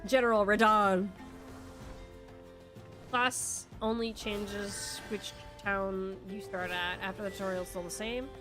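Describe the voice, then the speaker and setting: A woman's voice: mid-range voice, fairly even intonation, measured pace, a bit bright. A congested young woman streaming a game, chatting casually and rambling, swearing now and then. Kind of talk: casual game livestream chat. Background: faint background music.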